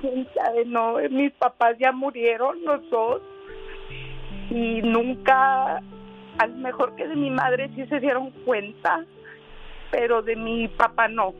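A woman caller from El Paso, Texas, comes through a thin, tinny phone line. She talks slowly and hesitantly, with faint music under the call. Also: fairly high pitch, steady tone. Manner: hesitant, halting. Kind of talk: phone call to a radio show. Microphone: telephone.

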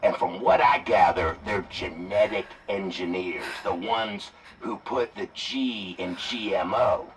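A man's voice: sits low, unhurried, hardly any pauses, recorded from a distance, slight background noise. A man playing a colorful, gossipy trucker in an audio drama, telling tales in a folksy, rambling, jokey way. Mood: conspiratorial. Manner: folksy, rambling, animated storytelling. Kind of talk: audio fiction drama acting.

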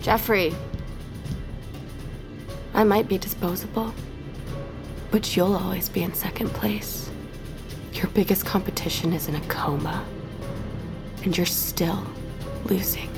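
A woman's voice: mid-range voice, steady tone, takes it slowly, pauses often, music in the background, natural voice. An actress playing a defiant, guilt-ridden captive young woman in an audio drama. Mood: cold defiance.

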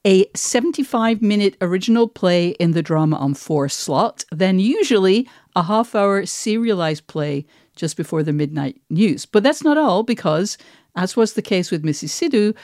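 A woman's voice: medium pitch, expressive, normal speed, a little mellow, no room sound, studio quality. A British woman hosting a podcast, talking in an articulate, emphatic, conversational way. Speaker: podcast host.

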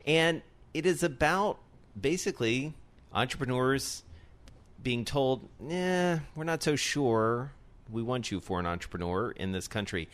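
A man's voice: mid-range voice, expressive, unhurried, fairly bright tone. A man hosting business radio in an informal, conversational way, rephrasing as he goes. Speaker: radio host. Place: radio studio.

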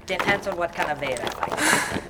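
A woman's voice: on the low side, very animated, moderate pace, far from the mic, very noisy. A woman voicing a haughty, scornful spirit companion in a fantasy audio drama, curt and disdainful in manner.

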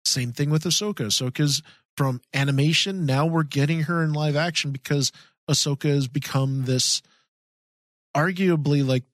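Male podcast co-host, slightly raised pitch, casual, emphatic fan enthusiasm, dry recording. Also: normal speed, dry recording.

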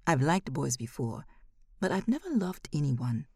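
A woman's voice: deep voice, very animated, moderate pace, a little mellow, breathy edge, dry recording, studio quality. A woman reading a first-person short story as scripted narration.

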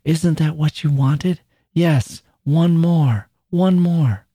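A man doing a frail dying man, soft and fervent. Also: fairly high pitch, takes it slowly, husky, no echo, studio quality, pitched above the performer's own voice. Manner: soft, rapt and pleading.

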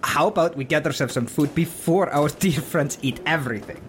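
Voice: mid-range voice, a little fast, a little hiss or hum, lightly acted. An actor voicing an aging, genial adventurer who leads with hesitant, politely coaxing courtesy in an audio drama. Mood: flustered, coaxing.

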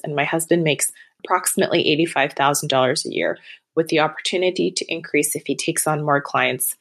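Low-voiced woman caller in her thirties. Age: 30s. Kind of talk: reading a prepared question.

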